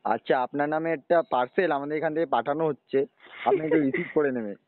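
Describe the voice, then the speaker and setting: A man's voice: a little high, quick pace, no room sound. A man on a prank phone call, talking in a mock-earnest, insistent way. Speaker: prank caller. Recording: narrow phone line with noise.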